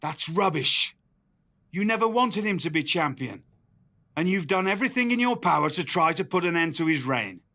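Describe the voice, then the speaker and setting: High, bright-voiced indignant British manager. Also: male voice, expressive, moderate pace, heavily acted. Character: indignant, proud British male wrestling show manager. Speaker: wrestling show general manager. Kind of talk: scripted wrestling game cutscene.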